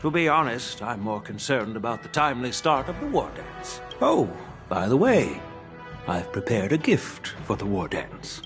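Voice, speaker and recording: A seasoned old elder from a video game, frank and shrewd. He speaks formally and with measured gravity at a middling pitch, with faint music underneath. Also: sing-song.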